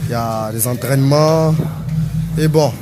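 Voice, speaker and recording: A man's voice: mid-range voice, a little slow, noisy background. A man, a dancer, giving a modest, plain interview after his performance. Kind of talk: casual interview at a concert venue. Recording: loud music behind voice.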